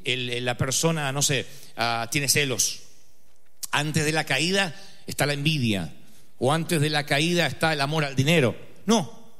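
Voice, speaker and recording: Argentine male pastor, mid-pitch, humorous and emphatic, reverberant hall. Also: a bit bright. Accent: Argentine Spanish. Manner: humorous, conversational storytelling with dramatic emphasis. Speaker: preacher. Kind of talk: sermon with personal anecdote.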